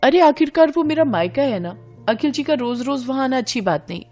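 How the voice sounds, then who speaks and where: A spoiled young bride in a family audio story, acted by a woman, speaks in a raised, girlish pitch. She is pert, blunt and self-centred, with light music behind her. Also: expressive, a little fast, a little mellow. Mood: complaining.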